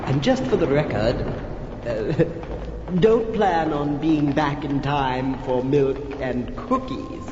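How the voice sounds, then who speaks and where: An actor playing a gloating, prankish vampire count in a campy horror comedy record, with a hammy, drawn-out, gleefully sinister delivery. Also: male voice, on the high side. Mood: gleeful menace. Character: gloating theatrical male vampire count, menacing prankish villain. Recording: muffled, echoey, noisy old flexi-disc record.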